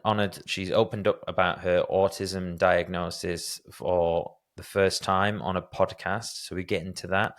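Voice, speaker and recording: A man's voice: deep voice, smooth. A man hosting a podcast interview, telling candid, self-deprecating stories in a casual conversational way. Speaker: podcast host.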